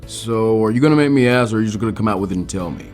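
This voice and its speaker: A man voicing a cool, casual adult ninja teacher who teases gently, in an audiobook story. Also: lowish voice, very fast, faint music behind, lightly acted. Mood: expectant. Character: laid-back bearded adult male ninja teacher. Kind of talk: fan fiction audiobook character voice.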